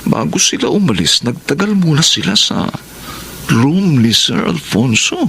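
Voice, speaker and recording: An actor playing a jealous, stubbornly suspicious office worker in a drama. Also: male voice, on the high side, very animated, measured pace, creaky, a bit bright, slight background noise, exaggerated voice. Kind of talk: Filipino radio-style drama.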